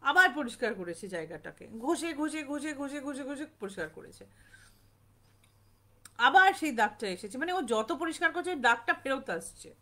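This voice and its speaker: A woman's voice: high-pitched, sing-song, normal speed, pushed and bright. A woman telling a ghost story informally, conversationally repeating phrases for emphasis and lightly acting out dialogue.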